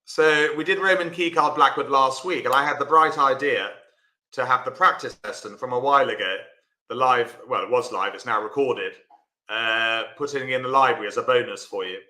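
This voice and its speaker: Chatty man teaching, bright echoey voice. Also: mid-range voice, normal speed. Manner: chatty, talks to viewers by name while explaining. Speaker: bridge teacher. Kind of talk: live online lesson.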